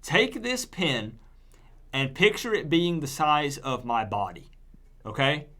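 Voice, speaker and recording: A man hosts a casual gaming stream in a slightly high voice with very lively swings in pitch. He talks emphatically and demonstratively, as if showing every point to his viewers. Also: takes it slowly. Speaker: livestream host. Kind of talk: casual chat on a gaming livestream.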